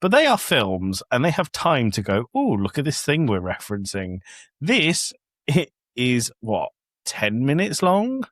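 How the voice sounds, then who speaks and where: A man's voice: mid-range voice, wildly swooping pitch, measured pace, no echo, clean studio sound. A man co-hosting a podcast, talking casually as he reviews things. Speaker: podcast co-host.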